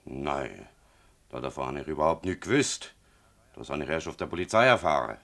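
A man's voice: a bass voice, very animated, brisk, gravelly, a bit bright, heavily acted. A man playing a reticent, dignified farmhand in a radio drama, terse and guarded, with a German accent. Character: reticent, dignified male farmhand accused of arson.

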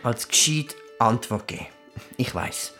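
Curious Swiss German peasant lad, halting and questioning, soft-hearted, children's radio play with faint music behind. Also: female voice, very deep voice, animated, heavily acted. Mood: sheepish. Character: eager, inquisitive, soft-hearted medieval peasant boy.

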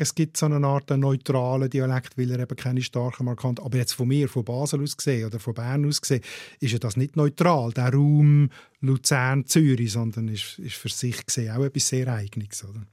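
A man's voice: medium pitch, mellow, no room sound. Dry-voiced Swiss German dialect expert man. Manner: measured, explanatory, spells out sounds slowly. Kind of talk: explaining in a dialect podcast.

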